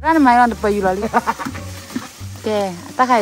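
A woman's voice: a little high, moderate pace, soft background music. Giggly young woman chatting in a forest. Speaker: vlogger. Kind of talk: casual chat while cooking outdoors. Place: forest hillside outdoors.

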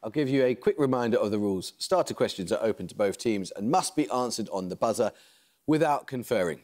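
A man, hosting a quiz show in a TV studio. He introduces the teams and reads the questions in a crisp, measured, formal way, with dry asides. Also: fairly low pitch, a little mellow. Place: large TV studio set, seated at a desk.

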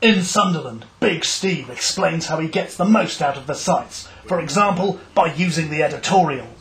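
A man's voice: fairly high pitch, animated, moderate pace, gravelly, a loud crowd. A man playing an earnest, self-important British TV reporter in a mock investigative news show, with dramatic, portentous delivery. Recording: roomy voice with music behind.

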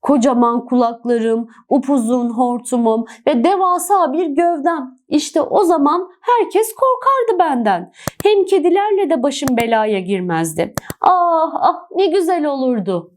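A woman's voice: high voice, animated, a little slow, a little hiss or hum, pitched above the performer's own voice. A woman voicing a tiny, weary and wistful young sparrow in a children's storybook, sighing and plaintive.

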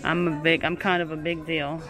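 A woman's voice: deep voice, flat delivery, moderate pace. A woman narrating a casual shopping vlog on a handheld phone inside a large home goods store, chatting about items and reading prices aloud. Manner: chatty, keeps calling things cute, reads prices aloud. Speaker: shopping vlogger. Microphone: handheld phone camera. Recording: store recording with music playing behind.

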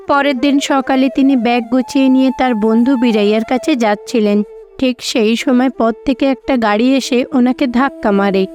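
A woman's voice: on the high side, a little mellow. A woman reading scripted storybook narration for a cartoon. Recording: faint music behind.

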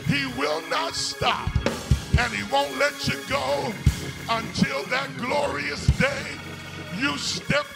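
High whooping preacher with gravelly tones. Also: male voice, takes it slowly, over loud music. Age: older. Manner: whooping, chanting, calls on the congregation. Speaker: pastor. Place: church sanctuary.

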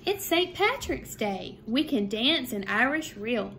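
Lady teacher doing a kids read-aloud, voice a bit high, some background noise. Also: big pitch swings, a bit bright. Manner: measured, simple phrasing for young children. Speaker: second grade teacher. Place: small room at home, indoors. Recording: clear background room noise.

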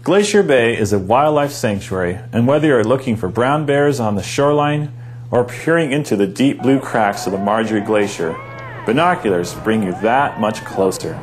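A male park ranger talks visitors through a day of sightseeing in a scripted, upbeat guide's delivery. His voice sits at an ordinary middle pitch but moves up and down a lot, lively and animated from phrase to phrase. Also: moderate pace, noisy background. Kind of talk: scripted informational narration.

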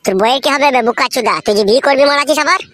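Man doing a squeaky, fast-scolding cartoon buddy. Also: bright, forceful tone. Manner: foul-mouthed, hectoring. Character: scolding, exasperated young male friend. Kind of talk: crude comedy cartoon dialogue.

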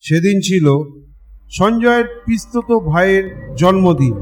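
A man narrating a scripted ghost story with deliberate, dramatic phrasing in short pieces. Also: sits high, lively intonation. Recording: long reverb, loud night-ambience noise.

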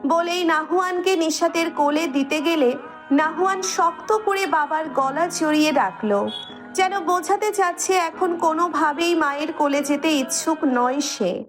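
A woman's voice: high-pitched, unhurried, soft background music. A woman reading a scripted story as its narrator.

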